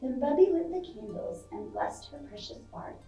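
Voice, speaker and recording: A woman reading a picture book aloud to children, expressive and playful, with chatty asides and questions to her young listeners. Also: sits high, normal speed, gravelly, echoey room, far from the mic, music in the background, poor audio. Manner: expressive, playful, acting out sound effects. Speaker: library storytime reader.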